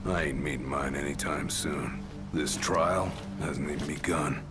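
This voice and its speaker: An actor playing a gruff, brash young royal bodyguard in a video game, with blunt, cocky tough talk. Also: male voice, a bass voice, steady tone, measured pace. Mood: defiant, determined. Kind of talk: video game cutscene acting. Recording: dramatic game music behind.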